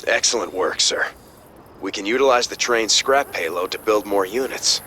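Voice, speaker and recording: An earnest second-in-command in a video game. He briefs formally in a measured, fairly low voice over clear background noise. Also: pushed and bright. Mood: businesslike. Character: earnest, dutiful male second-in-command officer.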